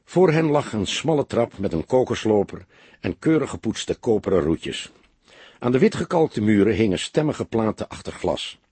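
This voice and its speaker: A man narrating an audiobook story from a script in a measured, expressive way. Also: lowish voice, husky, no room sound.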